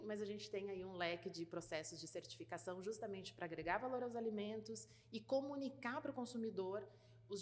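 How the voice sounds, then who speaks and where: A woman with a light gaúcho accent explains her work in an interview, thinking aloud with fillers and restarts. Her voice sits a little low for a woman. A long reverb trails behind her, as if she were in a large hall. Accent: light gaúcho Brazilian Portuguese. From Rio Grande do Sul, Brazil. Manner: thoughtful, many fillers and restarts. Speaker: guest, food certification business partner.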